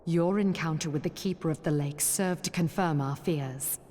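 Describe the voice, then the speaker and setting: A woman's voice: low voice, moderate pace, mellow, natural voice. A woman playing a grave, devout noblewoman knight in a fantasy game, speaking formally with archaic phrasing in a long hall reverb. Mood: relieved, grave. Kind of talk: scripted fantasy game cutscene acting.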